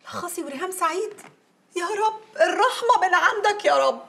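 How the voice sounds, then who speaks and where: A woman's voice: extremely high pitch, expressive, a little fast, gravelly, background noise, exaggerated voice. A woman playing an exasperated, scheming Egyptian mother and wife in a TV comedy-drama, with a dramatic, complaining manner. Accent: Egyptian Arabic. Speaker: actress. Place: furnished home interior, film set.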